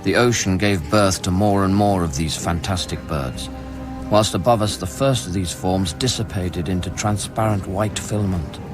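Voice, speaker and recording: A man acting a haunted scientist in a radio drama, recounting life on an alien world in measured, introspective, literary phrases. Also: sits low, lightly acted. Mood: brooding, awed. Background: music.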